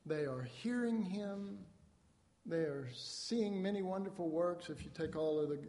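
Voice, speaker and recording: A man delivers a conversational sermon, reading verses aloud and breaking them down. His voice sits a little high but sounds mellow. He plays out the quoted lines with rising emphasis, and a long reverb trails behind him as in a church hall. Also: a little slow. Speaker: preacher. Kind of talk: sermon teaching on scripture.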